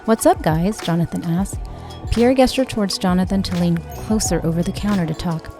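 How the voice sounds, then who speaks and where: Female narrator, mellow dark tone, slightly low pitch, scripted read-aloud, faint music behind. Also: fairly quick. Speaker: audiobook narrator and actor.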